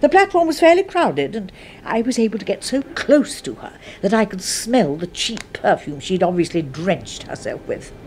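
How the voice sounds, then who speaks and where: An actress with an English accent playing an obsessive, murderous secretary in a 1970s radio horror drama, intense and easily flustered. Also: female voice, medium pitch, sing-song, normal speed, slight background noise, lightly acted. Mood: intense, confessional. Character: obsessive, murderous spinster secretary, timid before her boss.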